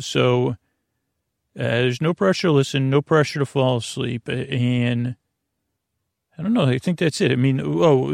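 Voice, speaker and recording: A man hosting a bedtime podcast in a rambling, halting, gently silly monologue, trailing off mid-thought in a gravelly voice. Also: medium pitch, dry recording, studio quality. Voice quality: creaky, gravelly. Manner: deliberately dull, gently silly. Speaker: podcast host.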